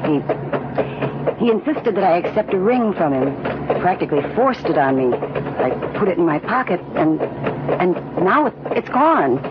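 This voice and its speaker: Mystified young radio-drama heroine, insistent, theatrical, dull and very noisy 1940s recording. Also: female voice, fairly low pitch, big pitch swings, normal speed.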